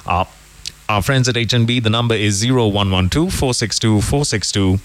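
A man's voice: fairly low pitch, moderate pace. Jokey male morning radio host. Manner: jokey, rambling, self-correcting. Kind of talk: casual morning radio chat with sponsor reads. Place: radio studio.

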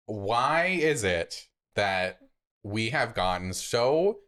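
Animated American man bantering on a podcast. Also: lowish voice, very slow. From United States. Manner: playful, teasing, exclamatory. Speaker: podcast co-host. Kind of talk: casual comedic banter.

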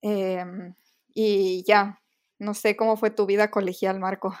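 Young woman, podcast co-host, chatty and giggly, relaxed talk with fillers. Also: mid-range voice, takes it slowly, clean tone. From Ecuador. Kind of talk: casual chat.

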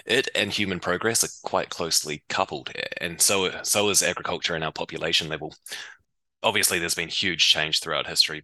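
A man from New Zealand with a New Zealand accent, a startup co-founder and CEO explaining things in a relaxed way as a podcast guest. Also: sits low, no room sound. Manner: relaxed explaining, uses reckon and heaps. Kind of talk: podcast interview.

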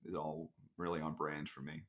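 A man as a podcast guest in casual chat, rambling with dark deadpan jokes over a video call. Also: extremely low pitch, moderate pace.

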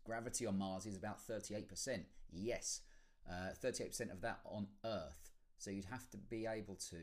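The fairly deep-voiced bloke who opens a weekly podcast by joking at his own expense before the serious guest comes on. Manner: tongue-in-cheek, self-deprecating. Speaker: podcast host. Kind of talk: casual scripted podcast introduction.

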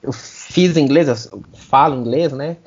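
A man with a Brazilian accent co-hosting a podcast, telling personal stories in a chatty, animated way. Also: fairly high pitch, a little slow, slightly rough. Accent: Brazilian Portuguese. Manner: chatty, animated storyteller, often adds "né?". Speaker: podcast co-host. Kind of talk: casual chat, personal anecdote. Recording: dull lo-fi sound.

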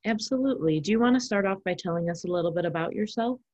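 A woman's voice: medium pitch, racing, darkish tone, lo-fi sound. A woman hosting an interview show, reading encouraging, scripted questions to her guest. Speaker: podcast host interviewer.

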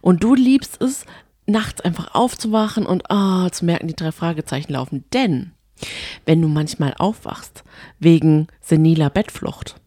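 A woman's voice: fairly low pitch, measured pace, dark tone, dry recording, clean studio sound. A woman co-hosting a podcast, chatting casually in a teasing, playful way. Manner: teasing and playful, laughs often. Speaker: podcast co-host. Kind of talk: casual chat.

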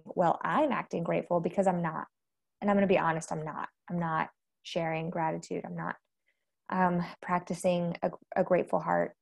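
A low-voiced American woman with a gravelly creak gives an informal devotional talk. She sounds a little congested from allergies and speaks candidly, in a conversational, self-deprecating way. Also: a little mellow. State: slightly rough, congested voice from allergies. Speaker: host of a faith-based live devotional and podcast.

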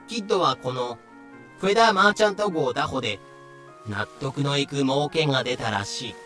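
A man's voice: fairly high pitch, pushed and bright. A man giving scripted, comedic narration for a pirate audio drama.

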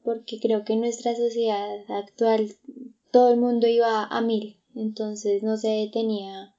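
A woman's voice: on the high side, flat delivery, unhurried, dark tone, lo-fi sound. A woman, chatting reflectively with many fillers and restarts. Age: 20s. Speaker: guest. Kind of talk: casual conversation.

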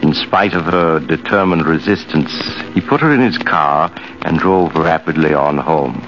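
Ominous male narrator, deep voice, scripted suspense storytelling, 1940s radio, muffled, music behind. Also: a little slow. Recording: muffled old radio recording.

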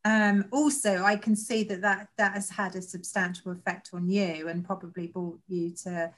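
A woman's voice: medium pitch, little pitch movement, normal speed. Woman interviewer, chatty tag questions. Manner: friendly, tag questions, many fillers. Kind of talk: conversational interview.

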